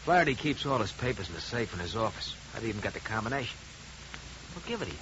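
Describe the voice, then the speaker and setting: An American actor playing a shady, streetwise small-time investigator, touchy and defensive, with slangy bluster in a 1950s radio drama. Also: male voice, fairly low pitch, sing-song, fast. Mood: conspiratorial. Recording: muffled, very hissy 1950 radio recording.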